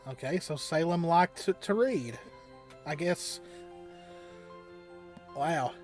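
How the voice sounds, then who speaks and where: A man hosting a reaction show with casual commentary, often halting and thinking aloud as he goes. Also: fairly high pitch, lively intonation, soft background music.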